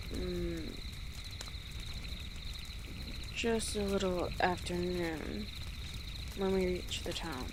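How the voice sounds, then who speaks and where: A woman playing an easygoing, friendly female orc travel guide in an audio roleplay, speaking gently and conversationally to the listener with pauses. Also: lowish voice, background noise. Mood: relaxed, hopeful. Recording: outdoor nature ambience with birdsong behind. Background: birds and rural outdoor ambience.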